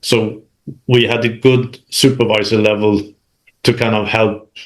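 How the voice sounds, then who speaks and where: A man from Sweden, speaking as an interview guest about his working life in a halting, self-correcting conversational style. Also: on the low side, level tone, poor audio. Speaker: interview guest, manufacturing executive. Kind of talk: recounting career experience in conversation.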